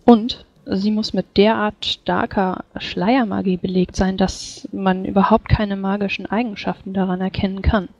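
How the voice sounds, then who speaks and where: A woman's voice: medium pitch, level tone, a little mellow, no room sound, lightly acted. Woman playing a composed mage, slightly dull sound. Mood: sober, reporting. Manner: formal, measured, courteous. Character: composed, dutiful female mage of a fantasy order. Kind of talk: tabletop roleplay in character.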